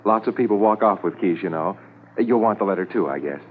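Low-voiced, fussy hotel manager played by a man, tinny radio. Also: expressive, a little fast, close to an everyday voice. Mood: obliging, chatty. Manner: hesitant, chatty, courteous. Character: fussy, obliging, talkative male hotel manager. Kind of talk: 1950s radio drama acting. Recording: tinny old radio with faint noise.

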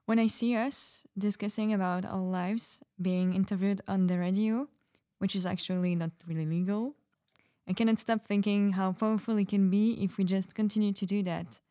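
A woman's voice: medium pitch, fairly even intonation, moderate pace, dark tone, no room sound, studio quality, close to an everyday voice. A woman playing an idealistic artist in a fiction podcast, speaking sincerely and reflectively. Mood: hopeful, reflective. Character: idealistic female artist finding her voice. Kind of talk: fiction podcast panel interview acting.

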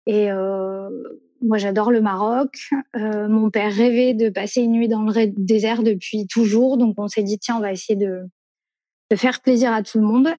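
Thirties woman from France, mellow tone, chatty and meandering, dry room. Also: mid-range voice, fairly even intonation, moderate pace, no echo. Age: 30s. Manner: rambling, many fillers and self-corrections. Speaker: guest. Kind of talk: casual conversational interview.

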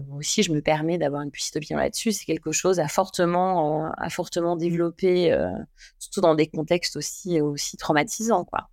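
A man's voice: fairly high pitch, creaky, no room sound, clean studio sound. A man hosting an interview, asking long rambling questions full of fillers. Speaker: podcast host.

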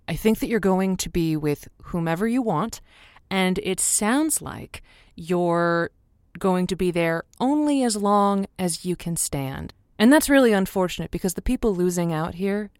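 Sassy, theatrical radio advice hostess. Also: female voice, fairly low pitch, no echo, clean studio sound. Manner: theatrical, sardonic, wordy. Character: sassy, blunt female radio advice show host. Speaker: call-in radio host character. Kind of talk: semi-improvised audio drama, radio host patter.

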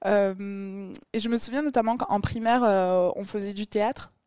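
A woman's voice: medium pitch, normal speed, mellow, clear, resonant tone, no room sound, studio quality. Hesitant woman with a Toulouse accent. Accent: Toulouse, southern French. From Toulouse, France. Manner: hesitant, many fillers. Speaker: podcast guest. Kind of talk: casual interview chat.

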